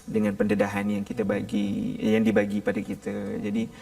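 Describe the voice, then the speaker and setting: A man's voice: fairly low pitch, fairly quick, vocal fry, far from the mic. Lelaki bersuara garau, cakap laju, nada turun naik, rakaman dari jauh. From Malaysia. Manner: animated, chatty, laughs easily. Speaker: guest religious teacher. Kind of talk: casual studio discussion.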